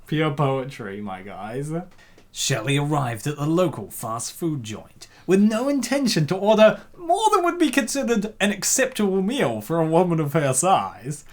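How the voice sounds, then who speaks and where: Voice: medium pitch, big pitch swings, measured pace. A co-host narrating a comic dub and reacting casually, with an ironic, teasing manner.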